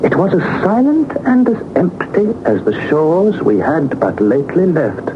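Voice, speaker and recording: An inquisitive young man on an expedition in a 1960s radio serial. His voice is fairly high and very animated, formal and articulate as he tells the tale. The old broadcast is dull and clearly noisy. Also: unhurried. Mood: reflective, wondering. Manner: articulate, expressive, formal storytelling. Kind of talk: 1960s radio drama acting and narration.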